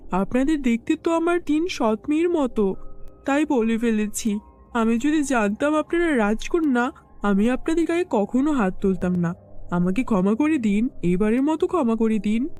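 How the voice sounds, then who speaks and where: Fairy-tale stepmother, acted by a woman: domineering, dramatic, middle-aged, soft dark timbre. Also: high voice. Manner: scolding, taunting, turns pleading when caught.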